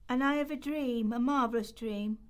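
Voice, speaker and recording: A woman's voice: on the high side, normal speed, smooth. A woman reading historical quotations from a script as part of a radio play rehearsal.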